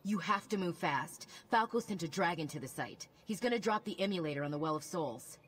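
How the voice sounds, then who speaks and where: An actress playing a brisk, urgent, plain-spoken human ally in a video game. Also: female voice, on the low side, expressive, slight background noise, close to an everyday voice. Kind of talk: video game dialogue acting.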